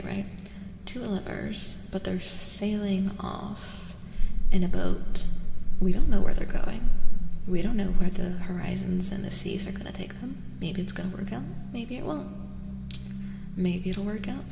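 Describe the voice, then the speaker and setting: Soft whispery asmr tarot lady, gentle and reassuring, lots of vocal fry, long echoey reverb. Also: medium pitch, noisy background. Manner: hushed, often slipping into whispers. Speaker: streamer giving a tarot reading. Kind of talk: soft-spoken ASMR, improvised tarot reading.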